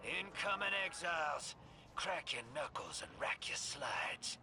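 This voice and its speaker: A man's voice: on the high side, animated, measured pace. A man playing a cocky, wry scout in a video game, with a folksy, wisecracking way of talking. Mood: alert. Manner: wisecracking, folksy, laid-back. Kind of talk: scripted video game dialogue. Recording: clear background noise of game ambience.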